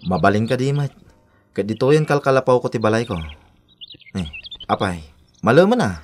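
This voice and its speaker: An actor with a Filipino accent playing a carefree, playful young bachelor in a radio drama. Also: male voice, medium pitch, very animated, moderate pace, soft background music, close to an everyday voice. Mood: casual. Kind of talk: Ilocano radio drama acting.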